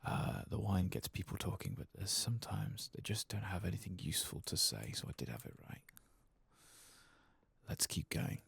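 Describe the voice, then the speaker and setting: Man, ASMR game commentary, soft and husky, often whispering, lively pitch movement, murmured thinking aloud. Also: moderate pace, dry recording. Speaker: video game streamer.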